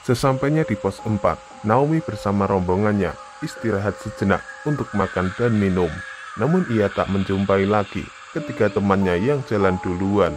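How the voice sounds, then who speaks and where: A man's voice: on the low side, normal speed, background noise. A man narrating a scripted story for a horror animation.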